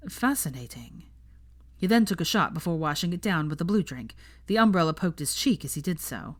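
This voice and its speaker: A woman's voice: fairly low pitch, animated, fast. A woman reading a story aloud as a narrator, in a scripted storytelling style. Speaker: audiobook narrator of fan fiction.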